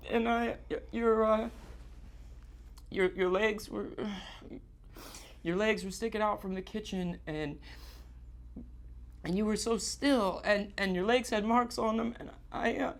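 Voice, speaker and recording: An actor playing a distraught, guilt-ridden man on stage, confessing in halting, repetitive, stammered phrases in a live theatre monologue. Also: sits high. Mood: panicked, guilt-stricken. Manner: halting, stammering, repeating himself.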